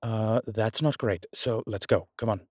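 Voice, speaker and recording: A man performing a gruff incubus investigator for an audio roleplay. The voice is deep and rough-edged, recorded close and dry. He talks in terse, commanding, everyday phrases, protective of his companion. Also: measured pace, a little mellow, no echo. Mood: urgent, alarmed. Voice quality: low, husky. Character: gruff, urgent male incubus demon investigator, protective, wryly friendly. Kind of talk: immersive audio roleplay acting.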